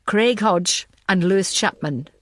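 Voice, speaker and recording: A woman's voice: medium pitch, measured pace, fairly bright tone, dry recording, clean studio sound. A woman voicing a reluctant, blunt male investigator uneasily reporting grim news in an audiobook. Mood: grave, uneasy.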